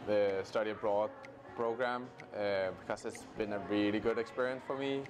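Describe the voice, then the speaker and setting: A Danish man in his twenties, an exchange student speaking English with a Danish accent, pausing hesitantly with many "uh"s. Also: lowish voice, steady tone. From Denmark. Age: 20s. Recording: music playing under the voice.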